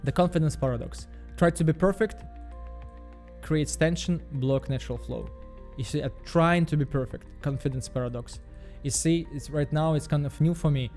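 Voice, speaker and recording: Halting Belarusian man. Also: fairly high pitch, darkish tone, soft background music. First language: Russian. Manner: halting, repeats words, frequent fillers. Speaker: vlogger. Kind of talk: casual self-help vlog monologue.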